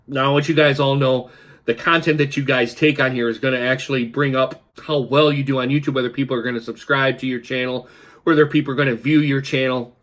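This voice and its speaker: A man's voice: medium pitch, a bit bright. A man in his thirties, congested with the flu, talking casually to camera from his kitchen and rambling with many fillers and restarts. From Michigan. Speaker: YouTuber. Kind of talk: casual talking-head vlog. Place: kitchen at home, indoors.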